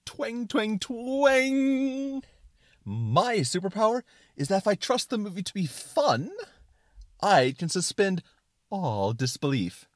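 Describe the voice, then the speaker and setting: A man voicing a pair of excitable, breezily reassuring suspenders in a comic skit, with emphatic delivery. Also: high-pitched, slow, dry recording, studio quality, voice pushed up, heavily acted. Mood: breezy, excited.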